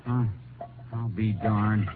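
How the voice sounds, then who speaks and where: Folksy grumbling rancher, old radio. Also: male voice, on the low side, fairly even intonation, slow, over music. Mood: puzzled, uneasy. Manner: folksy, colloquial. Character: grumbling, suspicious Texas rancher husband and father. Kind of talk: 1950s radio drama acting. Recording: noisy, tinny old radio broadcast recording.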